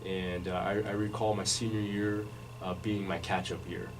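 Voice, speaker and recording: A man in his forties telling his life story as a radio interview guest, measured and full of restarts. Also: low voice, level tone. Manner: measured, many restarts and fillers. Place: radio studio. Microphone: studio microphone, recorded from afar. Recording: distant mic with background noise.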